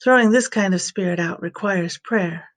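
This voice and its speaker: A woman's voice: mid-range voice, normal speed, close to an everyday voice. A woman voicing an authoritative male teacher and healer in a scripture reading, firm and instructive. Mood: solemn teaching.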